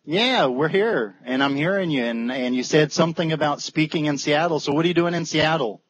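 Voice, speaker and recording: A man's voice: a little high, fairly quick, slight background noise. A man chatting casually and joking on a late-night video call, sometimes stumbling over his words. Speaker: fellow speaker on a video call. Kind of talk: casual chat. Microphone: webcam on a video call. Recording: dull lo-fi video call audio.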